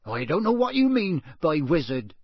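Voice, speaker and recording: Storybook role: male reader as stubborn old working man, rough, husky, blunt and indignant, pitched up. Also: very animated, normal speed, dry recording, clean studio sound. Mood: defiant. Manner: blunt, defiant, emphatic. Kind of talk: audiobook character voice.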